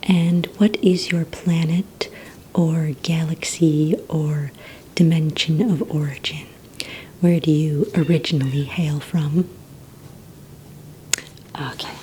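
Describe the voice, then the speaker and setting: A woman whispers very slowly in a soft, mellow, low voice, playing a courteous receptionist in an ASMR roleplay. She asks her questions gently and a little hesitantly. A faint rumbling noise sits behind her. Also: fairly even intonation, rough recording. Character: courteous female villain recruitment receptionist.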